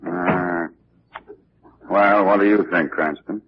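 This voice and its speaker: Skeptical police commissioner, deep voice, gruff, hesitant, muffled vintage radio drama. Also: male voice, fairly even intonation, slow. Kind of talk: 1940s radio drama acting. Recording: noisy, muffled old radio recording.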